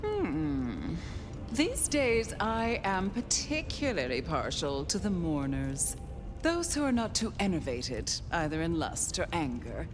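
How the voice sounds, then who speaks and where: Haughty, slow-drawling vampire grande dame. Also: female voice, fairly low pitch, wildly swooping pitch, over music, full character voice. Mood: fussy, haughty. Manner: aristocratic, theatrical drawl, condescending endearments. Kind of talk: dramatic video game acting.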